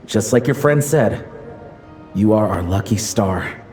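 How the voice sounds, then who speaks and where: A wise, gently teasing father and adventurer in a video game. His voice is soft-edged and mellow, measured and tender. Quiet music sits underneath. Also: lowish voice, expressive.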